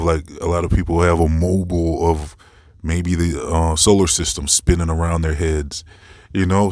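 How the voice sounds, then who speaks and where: A man's voice: low-pitched, darkish tone, no room sound, clean studio sound. A man hosting an informal, unscripted commentary, talking in a rambling, conversational way and circling back over his points. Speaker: host of a commentary series.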